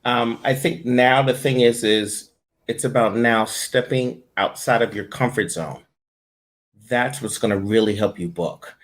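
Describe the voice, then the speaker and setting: A man's voice: mid-range voice, measured pace. A man speaking as an interview guest and coach, answering conversationally and emphatically, repeating his points for stress.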